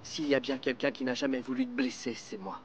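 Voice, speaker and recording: A man's voice: medium pitch, fairly even intonation, fast, a little hiss or hum, lightly acted. An actor dubbing a young man in a drama who talks in an earnest, pleading, defensive way. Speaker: record label rep. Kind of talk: dubbed telenovela acting.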